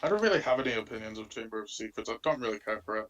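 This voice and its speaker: A man's voice: lowish voice, lively intonation, very fast, fairly bright tone. A man giving casual film commentary as one of a group of co-hosts. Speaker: co-host of a film commentary show.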